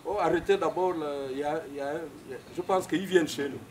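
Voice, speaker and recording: An older man with a Gabonese accent, an elder whose first language is Ipounou, making a halting formal speech at a customary wedding. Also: on the high side, steady tone, darkish tone, a little hiss or hum. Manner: halting, searching for French words, many fillers.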